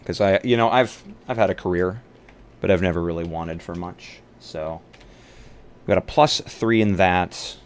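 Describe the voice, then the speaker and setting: A man's voice: sits low, normal speed. A man chatting casually on a tabletop roleplay livestream, thinking aloud and bantering with some profanity. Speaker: tabletop roleplay streamer and player. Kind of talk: casual livestream chat while building a game character. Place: live online game stream. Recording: clear background noise.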